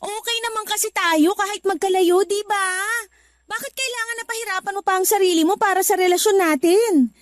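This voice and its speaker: A woman's voice: extremely high pitch, brisk. An actress playing a practical young woman in a Tagalog radio drama, teasing her partner and then scolding him for quitting his job. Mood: worried. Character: young woman working abroad, practical, a little irritated.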